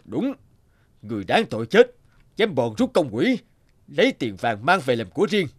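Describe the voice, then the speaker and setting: Shrewd cartoon king, animated delivery. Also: mid-range voice, moderate pace, slightly rough, no room sound, studio quality. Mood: stern, commanding. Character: shrewd king disguised as poor scholar, authoritative.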